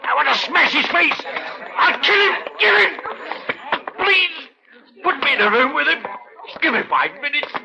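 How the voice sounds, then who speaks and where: An actor playing an agitated, embittered assault victim burning for revenge, halting and then bursting out, in an old-time radio drama. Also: male voice, very high-pitched, expressive, vocal fry, noisy background, exaggerated voice. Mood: hysterical rage. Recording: muffled old radio broadcast.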